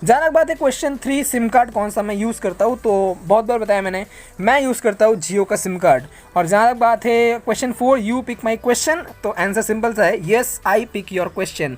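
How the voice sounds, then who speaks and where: A man's voice: sits high, moderate pace. A man talks as a tech vlogger. He takes viewer questions informally, speaking to listeners like brothers and friends. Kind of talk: casual question-and-answer vlog.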